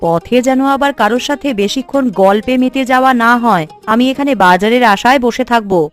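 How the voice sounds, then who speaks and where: A woman voicing an expressive, fretful village housewife in a cartoon, with a gossipy, nagging way of talking. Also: a little high, a little fast, faint music behind, natural voice. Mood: bossy. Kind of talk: cartoon voice acting.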